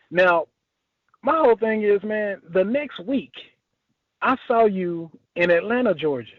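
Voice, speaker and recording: A man's voice: high-pitched, dry recording. A chatty man hosting a conversational interview on a conference call, heard through a telephone. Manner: chatty, punctuates with "man" and "now". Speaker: host interviewing a guest. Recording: tinny phone line, narrow band.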